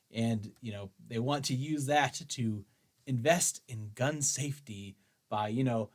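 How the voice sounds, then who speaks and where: A man's voice: medium pitch, a little mellow. A man hosting an opinion show, reading aloud and reacting in an argumentative, mocking way. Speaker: host of a commentary show on guns.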